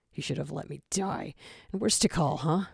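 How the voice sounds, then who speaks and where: A frail young jackal played by a woman from a dramatic fan story, worn out and bitter. The voice is low for a woman, with a creaky edge, and carries a gloomy defiance. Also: a little slow, no echo, studio quality, exaggerated voice. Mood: sullen, incredulous.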